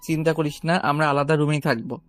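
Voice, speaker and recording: A man playing a calm, responsible young runaway groom in an audio story. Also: on the high side, fast, soft background music, natural voice. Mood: calm, reassuring.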